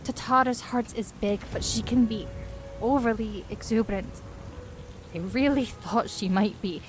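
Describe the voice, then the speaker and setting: A woman's voice: fairly high pitch, unhurried, a little hiss or hum, natural voice. A woman playing a kindly, composed ally in a fantasy audio drama, earnest and full of concern.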